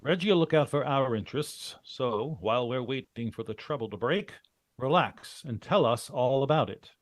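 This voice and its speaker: Man acting as shrewd, animated radio adventurer. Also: mid-range voice, dry recording, close to an everyday voice. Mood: businesslike. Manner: brisk, probing, wry questioning. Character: shrewd, businesslike male adventurer leading his partners. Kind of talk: vintage radio drama acting.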